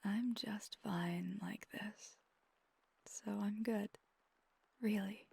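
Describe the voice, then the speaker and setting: Woman as vampire girlfriend, ASMR roleplay, whispered, tender and playful, unhurried, close and dry sound. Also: mid-range voice, steady tone, dry recording, heavily acted. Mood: shy, gentle. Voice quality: breathy, mostly whispered. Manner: slow, gentle, teasing, hesitant pauses.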